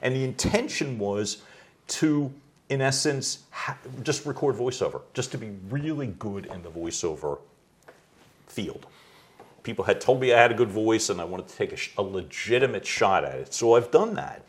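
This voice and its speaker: A middle-aged man from the United States, a voice coach being interviewed at home, who talks loudly and animatedly and laughs easily. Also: fairly low pitch. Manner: loud, animated storyteller with quick laughs. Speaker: guest, executive voice coach. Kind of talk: conversational interview. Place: his home.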